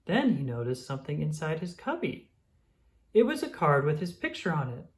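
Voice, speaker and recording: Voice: mid-range voice, very animated, moderate pace. A storybook reader narrating expressively for young children. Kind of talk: expressive scripted read-aloud narration.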